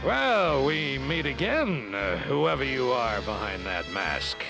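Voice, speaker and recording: Pompous, creaky cavalry captain. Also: male voice, medium pitch, big pitch swings, heavily acted. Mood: condescending. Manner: pompous, deliberate, emphatic. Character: stubborn, haughty male cavalry captain, strict about rules. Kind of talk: animated series voice acting. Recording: music behind the voice.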